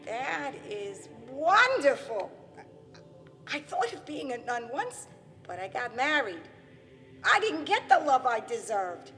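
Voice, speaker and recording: Excitable housewife for radio drama, played by a woman: high, swooping, gushing and exclamatory, in long reverb. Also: slow pace, full character voice. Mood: bitter. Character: excitable, wounded housewife and mother in the afterlife. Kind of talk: theatrical radio play acting. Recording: long hall reverb with music behind.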